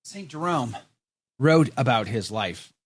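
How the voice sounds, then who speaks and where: A man's voice: medium pitch, animated, takes it slowly, slightly rough, no echo. A middle-aged man hosting a podcast, chatting casually and joking while he reads viewer comments aloud. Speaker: podcast host. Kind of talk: casual chat.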